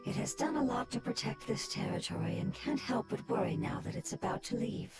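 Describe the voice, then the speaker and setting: Gentle wise goddess, soft n measured, loud music behind. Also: female voice, on the high side, sing-song, gravelly. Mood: calm. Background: loud game music.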